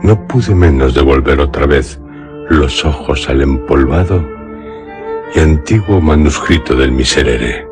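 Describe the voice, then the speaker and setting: Male narrator, bass-deep voice, slow, solemn, scripted radio story, music underneath. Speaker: first-person narrator.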